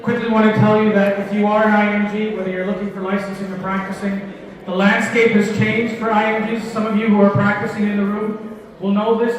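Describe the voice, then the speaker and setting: High-voiced man pitching in an echoey hall. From Sri Lanka. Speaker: event company representative. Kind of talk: conference floor pitch. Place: large conference hall. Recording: echoey hall, distant mic, crowd noise. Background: crowd chatter.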